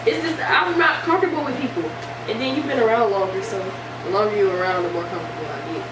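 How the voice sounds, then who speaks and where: A young woman chatting casually in an animated way, often acting out bits of conversation as she retells them. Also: mid-range voice, quick pace, over a noisy crowd. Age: young adult. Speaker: vlogger. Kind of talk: casual chat. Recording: distant mic, echoey room, steady hiss.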